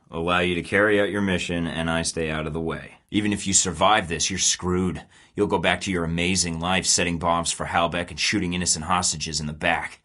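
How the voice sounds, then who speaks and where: A man's voice: deep voice, fairly bright tone. An actor playing a wisecracking young male rogue spy in a video game, sarcastic and taunting. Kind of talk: game voice lines.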